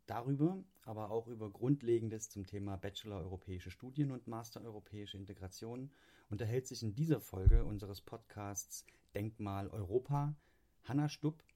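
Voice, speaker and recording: A man reading a scripted introduction to a podcast episode in a measured way. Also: on the low side, warm tone. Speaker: podcast narrator. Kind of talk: scripted narration.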